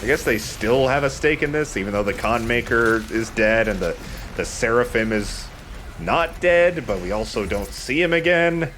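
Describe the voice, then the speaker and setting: A man with a mid-pitched voice gives casual game commentary. He talks conversationally, and faint game noise sits behind his voice. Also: expressive, moderate pace, fairly bright tone. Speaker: let's play commentator. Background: video game sound.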